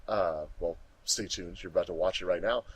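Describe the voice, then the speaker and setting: A man's voice: sits low, normal speed, slightly rough, no room sound, low-quality recording. A man hosting a casual, chatty commentary track among friends. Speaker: host of fandub commentary track.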